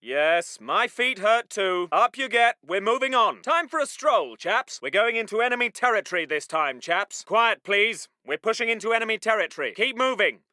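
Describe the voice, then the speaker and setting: British WWII squad medic for a war game: very high, sharp, animated voice, genteel, chipper and clipped. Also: male voice, moderate pace, few pauses, dry recording. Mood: polite brisk. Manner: clipped, old-fashioned genteel officer-like phrasing. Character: polite, plucky British WWII army medic, brisk and chipper. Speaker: game voice actor. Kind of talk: military video game unit voice lines.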